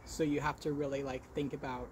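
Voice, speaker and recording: A man's voice: medium pitch, level tone, background noise. A man chatting casually as a vlog host, with a stutter that repeats and blocks sounds, often correcting himself as he goes. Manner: halting, self-correcting. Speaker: vlog host showing crochet work. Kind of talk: casual podcast-style chat.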